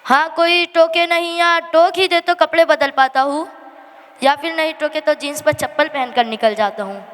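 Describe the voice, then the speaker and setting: A high female voice recites a dramatic poetic monologue. The delivery is plaintive and emphatic, full of feeling, and rings through a hall with long reverb. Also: a bit bright. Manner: emotional, plaintive, emphatic delivery with drawn-out refrains. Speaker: monologue performer at a school annual day. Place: school annual day stage in a hall.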